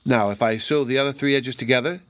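A man's voice: medium pitch, fast talker, fairly bright tone, no echo, close to an everyday voice. Man reading as an eager, genteel young lady. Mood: eager, curious. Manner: earnest, genteel. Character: eager, dreamy, well-bred young lady.

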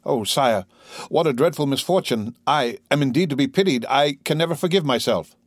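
A man's voice: medium pitch, expressive, moderate pace, no room sound, close to an everyday voice. A frightened police minister pleads and stammers his apologies, as a man reads him. The voice stays near the reader's own. It is recorded dry and close. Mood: pleading.

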